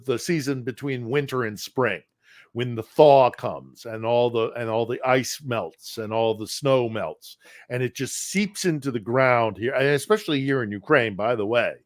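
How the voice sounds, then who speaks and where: A man's voice: medium pitch, dry recording. Earnest man talking in a dry close sound. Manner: earnest, repeats words while searching for them. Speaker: guest correspondent. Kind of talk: casual live-stream conversation. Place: in Ukraine.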